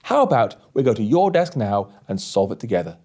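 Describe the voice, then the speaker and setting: A man's voice: mid-range voice, sing-song, brisk, dark tone. A man voicing a pushy, overconfident male tech lead in a storybook, with a breezy know-it-all manner. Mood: cocksure.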